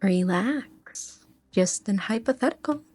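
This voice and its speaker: A woman's voice: medium pitch, lively intonation, takes it slowly, a little mellow, slightly rough, no echo, faint music behind. Fan-dub casting: a woman as a confident commander, teasing, dry close recording. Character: sly, confident female commander. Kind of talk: amateur fan dub acting.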